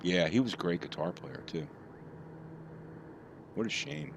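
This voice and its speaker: A man's voice: sits low, animated, measured pace, noisy background. A middle-aged man chatting casually with his live chat while he plays, rambling from topic to topic. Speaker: streamer.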